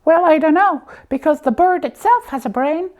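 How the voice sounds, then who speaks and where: Very high-pitched slipper puppet host. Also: female voice, animated, moderate pace, a little mellow, highs cut off. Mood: explaining. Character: high-voiced slipper puppet, matter-of-fact explainer, easily exasperated. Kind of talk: comic puppet dialogue explaining a topic simply.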